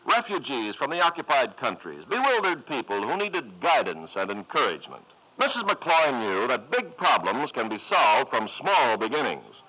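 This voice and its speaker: Formal American radio announcer, vintage lo-fi sound. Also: male voice, medium pitch. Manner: formal, emphatic. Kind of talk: 1950s scripted radio narration. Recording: dull lo-fi old radio broadcast.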